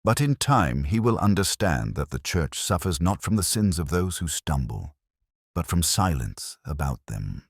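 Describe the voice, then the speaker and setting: Man reading as a very deep, measured police inspector. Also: husky, no room sound, clean studio sound, heavily acted. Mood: quietly grave. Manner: soft-spoken, even, measured, formal. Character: calm, methodical male police inspector, quietly grave. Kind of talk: storybook detective dialogue.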